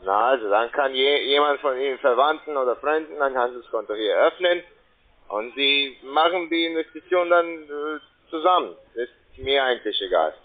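A man working as a call centre agent, making a halting sales pitch with repeated words over the phone. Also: expressive. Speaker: call centre agent selling trading investments. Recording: tinny narrowband phone line.

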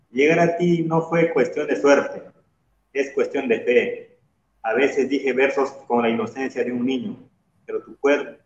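Echoey young man reading poetry. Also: mid-range voice. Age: young adult. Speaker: guest poet. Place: bare-walled room, on a video call. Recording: echoey, dull video-call audio.

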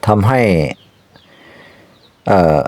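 A man telling an animal folk tale informally, in halting, repetitive phrases with spoken sound effects. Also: a little mellow, no room sound. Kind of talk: informal, improvised animal storytelling.

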